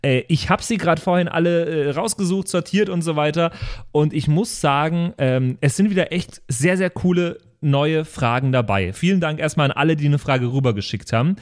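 Podcast host: man, voice a bit high, loose conversational tone. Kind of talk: casual podcast chat.

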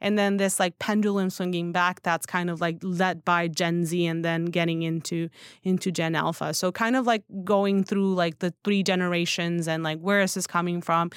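A woman's voice: lowish voice, steady tone, moderate pace, smooth, dry recording, studio quality. A woman speaking as a podcast guest in casual, opinionated chat, rambling informally with lots of filler phrases. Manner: informal, rambling, peppered with "like" and "you know". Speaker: podcast guest, newsletter writer.

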